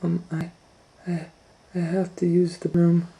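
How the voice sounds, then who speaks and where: An anxious little schoolgirl in a children's storybook, played by a man. The voice is pushed up high, whiny and pleading, with room echo around it. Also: completely flat delivery, a little slow, creaky, mellow. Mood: timid, hesitant. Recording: roomy echo.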